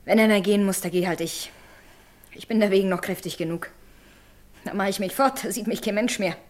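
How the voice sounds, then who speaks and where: An actress playing a hard, proud and bitter carter's wife with a Silesian accent, blunt and dramatic, in a radio play. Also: female voice, medium pitch, brisk, close to an everyday voice. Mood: bitter, defiant. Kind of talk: naturalistic German radio play acting.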